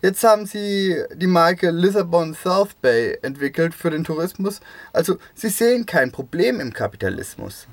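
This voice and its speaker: Higher-voiced man in a dry radio recording. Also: big pitch swings, moderate pace, dry recording. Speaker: radio presenter and voice-over reader. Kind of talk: radio feature with scripted dialogue and interview voice-over.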